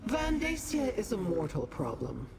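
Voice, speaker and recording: An actress playing an aloof, scheming immortal demon woman, haughty and deliberate, in a video game. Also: mid-range voice, wildly swooping pitch. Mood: coolly intrigued. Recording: noisy game ambience.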